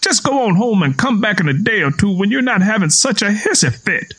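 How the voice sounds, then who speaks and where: Man voicing a high-pitched, taunting Southern man. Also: big pitch swings, fairly quick, fairly bright tone, breathy edge, full character voice. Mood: exasperated. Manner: folksy, taunting, argumentative.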